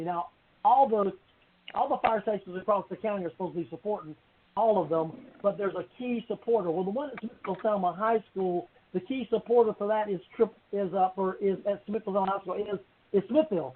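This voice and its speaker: A man's voice: high-pitched, a little hiss or hum. A man speaking as a council member, making informal, rambling remarks in a budget meeting that circle back to the point. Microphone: conference call. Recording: thin, phone-like conference call.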